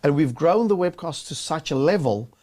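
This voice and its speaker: Higher-pitched man, halting business talk. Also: animated, darkish tone. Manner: halting, repeats words. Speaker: co-host. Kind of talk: casual business chat.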